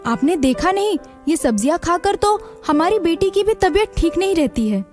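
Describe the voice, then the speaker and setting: A man's voice: extremely high pitch, big pitch swings, moderate pace, a little mellow, voice pushed up. A man voicing a worried but supportive young village wife over background music. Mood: encouraging. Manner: pleading, practical.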